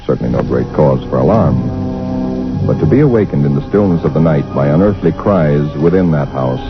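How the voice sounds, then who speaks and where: Brief: deep, lively young husband, old-time radio, muffled. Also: male voice, normal speed. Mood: grave narration. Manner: reassuring, then tense narration. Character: young husband in new house, jittery, dismissive. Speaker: narrator and lead character. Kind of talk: 1940s radio acting. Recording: dull old radio broadcast with music.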